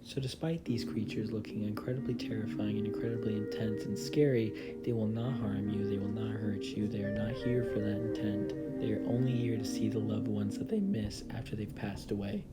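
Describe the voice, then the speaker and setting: Young man over loud music. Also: fairly low pitch, fairly quick, hardly any pauses. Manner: emphatic, repeats words for stress. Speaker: video host. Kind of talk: casual countdown commentary. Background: loud music with guitar.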